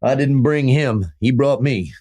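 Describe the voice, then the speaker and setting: Man playing a medium-pitched, folksy, loyal cowhand. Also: unhurried, a little mellow, natural voice. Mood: wary, obedient. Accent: American. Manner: folksy, plain-spoken. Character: loyal, wary cowhand deferring to his boss. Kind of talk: old-time Western radio drama acting.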